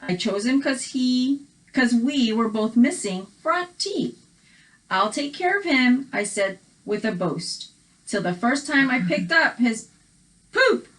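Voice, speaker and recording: A woman co-hosting a children's read-aloud, telling the story in an animated, reactive way and chatting casually. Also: high voice, takes it slowly, low-quality recording.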